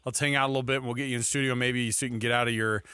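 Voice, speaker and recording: A man's voice: medium pitch, fairly even intonation, very fast, bright, forceful tone, smooth, dry recording. An American man hosting sports talk radio on a close studio mic, chatting in an informal, chummy way. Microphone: close studio microphone.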